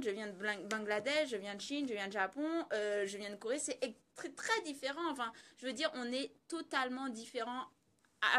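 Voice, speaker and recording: The speaker is a woman with a fairly high voice. She offers social commentary in a conversational, explanatory style. She builds emphasis by restating her phrases. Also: fairly bright tone.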